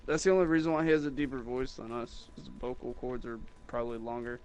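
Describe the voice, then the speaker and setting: A man's voice: fairly even intonation, quick pace, darkish tone, slight background noise. Rambling man chatting casually. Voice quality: higher-pitched voice, in his own view. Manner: rambling, with many restarts and fillers. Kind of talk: casual chat.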